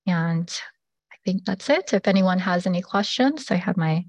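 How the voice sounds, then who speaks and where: A woman presenting a public assistance program at a public meeting, pausing often with fillers and restarts. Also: lowish voice, animated, no echo. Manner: halting, many fillers and restarts.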